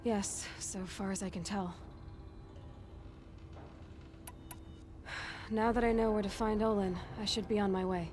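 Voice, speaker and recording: A woman's voice: mid-range voice, steady tone, fairly quick, a little mellow, lightly acted. A woman playing a determined, guarded young hunter in a video game, speaking in a terse, matter-of-fact way. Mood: resolute.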